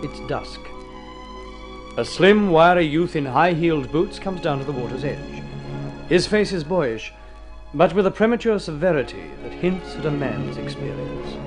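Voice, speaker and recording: A man narrating an old-time radio drama in a formal, measured, literary storytelling style, with dramatic delivery. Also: fairly high pitch, animated. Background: music under the voice.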